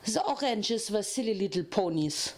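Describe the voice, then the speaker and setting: A woman's voice: medium pitch, expressive, moderate pace, darkish tone, lightly acted. A woman voicing a fanatical, theatrical pony official who proclaims pompously in a dramatic fan fiction reading. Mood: smug.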